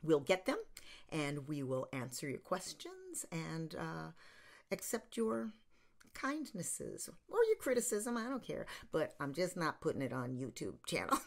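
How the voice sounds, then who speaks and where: An American woman in her sixties hosting online, giving an informal introduction with measured delivery and emphatic pauses. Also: sits low, sing-song, vocal fry. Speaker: theater company host introducing an online show. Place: small home room, indoors.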